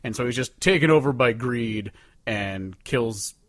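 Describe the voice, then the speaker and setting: A man's voice: mid-range voice, measured pace, no echo. A man co-hosting a casual talk show, rambling through his commentary in a loose, sweary, conversational way. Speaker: podcast co-host. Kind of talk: casual chat, ranking songs with commentary.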